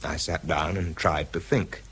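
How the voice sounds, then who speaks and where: A weary, troubled British scientist telling his own story in a radio play. The voice is deep and husky, measured and confiding. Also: steady tone, fairly bright tone, heavily acted. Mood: numb, troubled. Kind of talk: dramatised first-person narration.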